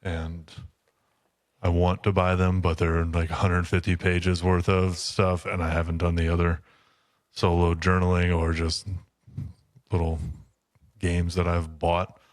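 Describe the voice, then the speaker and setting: Low, flat-toned man, blunt and casual. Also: a little slow, dry recording, clean studio sound. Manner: blunt, matter-of-fact. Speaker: podcast co-host. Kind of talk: casual chat.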